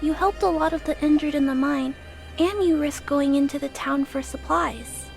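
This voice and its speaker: A woman's voice: high-pitched, normal speed, creaky, faint music behind. A woman voicing a shy, earnest young girl in a video game, hesitant and polite. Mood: hesitant, timid. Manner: hesitant, soft-spoken, polite.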